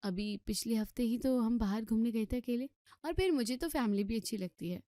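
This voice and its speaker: A woman voicing a sweet, tactful young daughter-in-law, gently persuading as the family peacemaker in a story. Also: fairly high pitch, a little fast, dry recording, studio quality, lightly acted. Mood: cheerfully persuasive.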